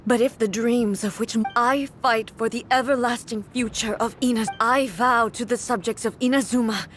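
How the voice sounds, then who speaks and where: A woman playing a solemn, stoic female ruler in a video game, speaking in a formal, grave and measured way. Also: on the high side, fairly even intonation, faint music behind, lightly acted. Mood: vowing. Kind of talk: dramatic video game voice acting.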